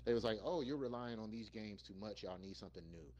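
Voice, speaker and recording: A man's voice: fairly low pitch, quick pace, darkish tone, a little hiss or hum. A man co-hosting a gaming podcast, giving his opinions in emphatic, colloquial, casual talk. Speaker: podcast co-host. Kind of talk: casual chat, slangy opinion.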